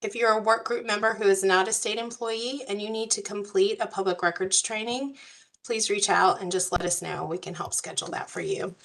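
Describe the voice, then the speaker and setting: A woman, hoarse from a cold, facilitating an online work group meeting in a friendly, procedural way. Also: medium pitch, steady tone, fairly quick, a bit bright. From US South. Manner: friendly, procedural, uses y'all. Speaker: meeting facilitator, policy advisor. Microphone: video call.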